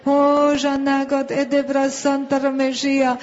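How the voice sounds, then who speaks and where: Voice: high-pitched, monotone, darkish tone, muffled recording. A prayer leader praying fervently in an incantatory, repetitive way, slipping between words and chanted speaking in tongues.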